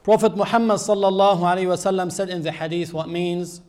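A man giving an Islamic sermon in a measured, earnest teaching manner with frequent pauses. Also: high voice, steady tone, a little mellow. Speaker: Islamic preacher.

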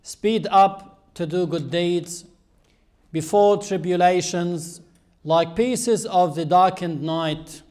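Guy with a high-ish voice giving a sermon, slow and earnest, lots of pauses. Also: darkish tone. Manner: measured, earnest teaching with frequent pauses. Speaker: Islamic preacher.

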